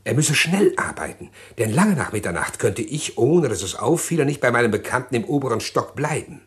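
A man acting a scheming, respectable middle-class husband in a German radio crime drama, speaking precisely and insistently in a husky voice. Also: mid-range voice, big pitch swings, fairly quick, natural voice. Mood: calculating, recounting. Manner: precise, insistent, well-spoken.

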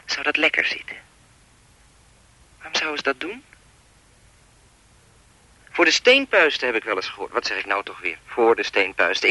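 A man's voice: mid-range voice, bright, forceful tone, no room sound, exaggerated voice, rough recording. An actor playing a chatty, lewd and menacing anonymous male caller in a radio play, insinuating and mock-polite as he rambles. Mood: lewd, teasing.

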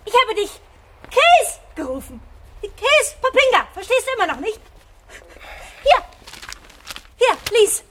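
A woman's voice: extremely high pitch, sing-song, slow, long pauses, noisy background, full character voice. An actress playing a blunt, streetwise woman who is a fugitive's accomplice in a German radio play, insistent and taunting. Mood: agitated, accusing.

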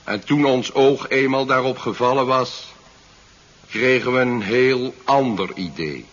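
A calm, patronising Martian overlord in an old science fiction radio play. He speaks slowly and deliberately, with a slightly husky voice, on a dull vintage recording. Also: medium pitch. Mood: solemn narrating. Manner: measured, soothing, patronising, speech-making. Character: calm, condescending Martian captor addressing his defeated human prisoner. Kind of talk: 1970s science fiction radio drama acting.

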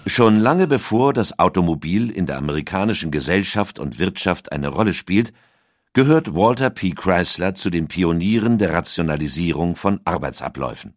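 A man reading a documentary script in measured storytelling narration. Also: deep voice, expressive, no room sound.

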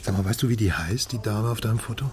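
A man's voice: lowish voice, quick pace, darkish tone, natural voice. An actor playing an amused, inquisitive male confidant in a radio crime drama, with a teasing manner.

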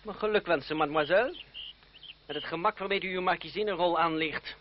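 An actor playing a sharp-tongued, bitter but loyal young gentleman in a Dutch radio play, theatrical and mocking. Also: high-pitched, brisk, natural voice. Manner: sardonic, theatrical. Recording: dull, noisy old radio play recording.

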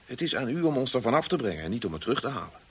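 An actor in a Dutch radio drama playing a kind, discreet and loyal estate manager, courteous and measured in his speech. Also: medium pitch, very animated, breathy edge. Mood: kind, reassuring.

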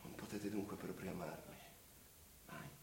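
A man's voice: low-pitched, steady tone, normal speed, gravelly. An actor playing an obsessive, lovelorn man who pleads intensely and formally in a theatrical radio drama. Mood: defeated pleading. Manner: intense, imploring, formal address. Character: obsessive lovelorn man, desperate, pleading, guilt-stained seducer. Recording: roomy, distant, noisy stage-like radio recording.

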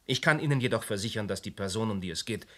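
An actor in a radio play playing a guarded, dignified man under police questioning who speaks in a measured way. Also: lowish voice, lively intonation, pushed and bright. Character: reserved middle-aged man being questioned, guarded and dignified. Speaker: suspect Monsieur Cernik.

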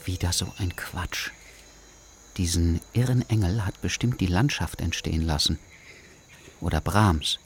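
An actor playing an officious aviation investigator in a radio drama, formal and easily flustered. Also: male voice, low-pitched, unhurried, pauses often, a little hiss or hum. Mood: irritated. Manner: formal, hesitant, muttering to himself.

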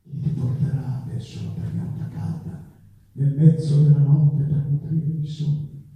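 A man reciting poetry on stage in a solemn, declamatory manner with measured phrasing. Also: on the high side, fairly even intonation. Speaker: stage performer. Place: theatre stage recital with music. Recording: echoey hall, distant mic, dull sound.

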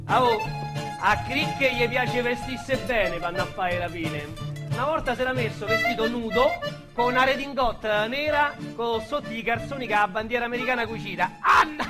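An actor playing an excitable, streetwise Roman small-time robber, telling his story as a theatrical radio drama monologue in slangy dialect. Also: extremely high pitch, moderate pace. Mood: excited, boastful. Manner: slangy, vulgar, theatrical storytelling with shouts and hushed asides. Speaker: actor narrator. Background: music under the voice.

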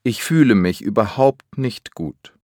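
Male course speaker, lower voice, deliberate and slow, dry studio sound. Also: lively intonation, dark tone, dry recording. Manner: clear, deliberate enunciation. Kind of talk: slow scripted language drill.

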